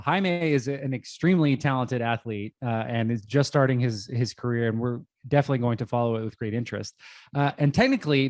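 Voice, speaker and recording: A man hosts an interview in a friendly, measured way. His voice sits at an ordinary middle pitch, and the recording is dry, with no room sound. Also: darkish tone, no room sound. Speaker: host. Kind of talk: interview podcast.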